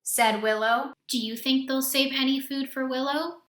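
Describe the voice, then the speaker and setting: Woman, bright and a little high, expressive storybook reader who quizzes kids; roomy echo. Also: moderate pace, a little hiss or hum. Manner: expressive, asks listeners questions. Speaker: read-aloud host for children. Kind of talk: children's storybook read-aloud.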